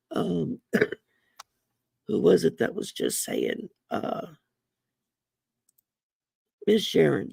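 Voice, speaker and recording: A woman with a drawl and a deeply gravelly, husky voice from damaged vocal cords, talking slowly. She hosts a relaxed card-reading livestream into a computer mic, with a lively pitch and a dry close sound. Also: dry recording. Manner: slow, folksy, chatty with many ums. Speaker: host and oracle card reader. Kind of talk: casual livestream chat.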